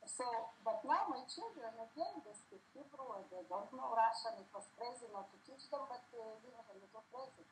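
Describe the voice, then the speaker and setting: A middle-aged woman from the Soviet Union, a native Yiddish speaker, telling memories in halting English and often searching for words. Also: a little high, animated, slight background noise, rough recording. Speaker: interviewee, singer's daughter. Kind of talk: casual spoken reminiscence.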